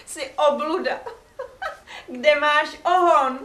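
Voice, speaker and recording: A woman's voice: high voice, sharp tone. A woman acting a lofty female figure in a theatrical stage play. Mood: mocking, appalled. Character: gracious priestess; later haughty, mocking woman. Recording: echoey theatre, distant, faint noise.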